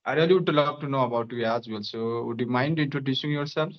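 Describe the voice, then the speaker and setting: A man's voice: mid-range voice, fast talker. Hesitant young man, dull line. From Nepal. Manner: hesitant, many fillers. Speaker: guest. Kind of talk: casual conversation practice.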